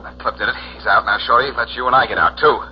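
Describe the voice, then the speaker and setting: An American man acting a quick-witted, wisecracking detective in 1940s radio style, wry and smooth-talking. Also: medium pitch, heavy background noise, close to an everyday voice. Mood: wry cool. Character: quick-witted, wisecracking male amateur detective, cool under pressure. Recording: muffled, humming old radio recording.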